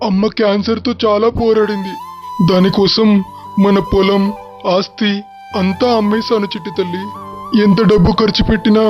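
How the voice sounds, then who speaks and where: A man playing a gentle, sorrowful village father who explains things tenderly and patiently, in an animated moral story. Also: high-pitched, lo-fi sound, lightly acted. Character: gentle, sorrowful, doting village father and cremation-ground keeper.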